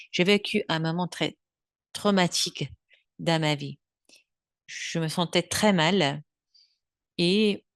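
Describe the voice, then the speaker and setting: A Belgian French woman in her seventies gives a therapy webinar in a low, deep voice. She speaks thoughtfully, pausing often to search for words. The sound is dry and close, picked up by a computer mic on a video call. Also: dry recording. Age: 70s. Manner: unhurried, thoughtful, with frequent hesitations. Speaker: bioenergetic therapist giving a webinar. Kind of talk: webinar lecture.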